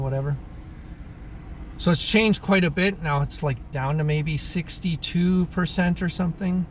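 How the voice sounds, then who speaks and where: A man in his thirties, originally from Croatia, talking through his opinions in a casual monologue, pausing often and clearing his throat. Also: fairly high pitch, slight background noise. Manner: halting, frequent throat clearing and restarts. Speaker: vlogger. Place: in Alberta, Canada.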